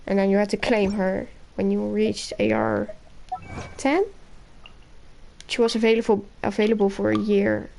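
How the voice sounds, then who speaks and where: A woman's voice: medium pitch, creaky, darkish tone, a little hiss or hum, rough recording. A young woman giving casual live gameplay commentary in short fragments as she streams. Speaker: game streamer.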